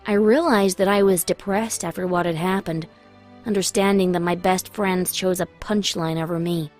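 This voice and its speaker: A woman's voice: on the low side, fairly even intonation, normal speed, darkish tone. Emotive young woman narrating over music. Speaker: first-person story narrator. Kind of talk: dramatic scripted narration.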